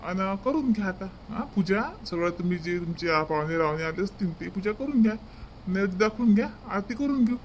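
High-pitched village priest played by a man. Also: lively intonation, brisk, a little hiss or hum. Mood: instructing, brisk. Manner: instructing, repeats his directions. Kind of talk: comedy cartoon dialogue.

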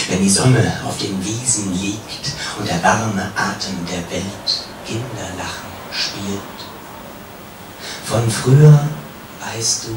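Solemn man reading poetry, very roomy distant sound. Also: on the low side. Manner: solemn, measured, with pauses and falling phrase endings. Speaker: poet reading own work. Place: café, live reading. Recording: roomy, distant, noisy live recording.

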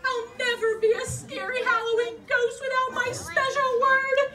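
A woman's voice: very high-pitched, flat delivery, normal speed, heavy background noise. A woman playing a timid, plaintive little ghost puppet in a theatrical children's puppet show. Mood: plaintive, dejected. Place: library meeting room, recorded from audience. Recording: echoey room, far off, loud audience.

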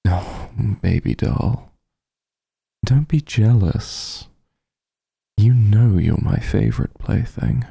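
A man voicing a possessive, teasing vampire mafia heir in an intimate ASMR roleplay, husky and gravelly, with a condescending drawl. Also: on the low side, warm tone, no room sound, clean studio sound, heavily acted. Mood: indulgent teasing. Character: possessive, teasing male vampire mafia heir, coolly menacing yet doting.

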